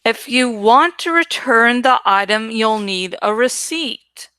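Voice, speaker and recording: A woman's voice: on the high side, lively intonation, slow, bright, forceful tone, dry recording, studio quality, exaggerated voice. A woman voicing a shabby, crafty madwoman from a storybook, who speaks in precise, eerie repetitions. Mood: flat, challenging. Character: shabby, sly madwoman who repeats herself eerily.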